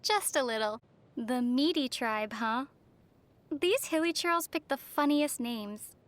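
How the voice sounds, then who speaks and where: Young female traveler, high voice, soft, wry, gentle teasing, video game acting. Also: animated, slow. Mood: curious, calm.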